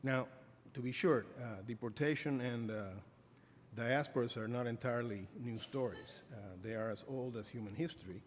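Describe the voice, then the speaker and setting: A middle-aged man giving an academic lecture as a professor, halting with many fillers and restarts. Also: mid-range voice, a little hiss or hum. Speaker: professor giving a keynote address. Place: lecture hall. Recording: reverberant hall, faint ambience.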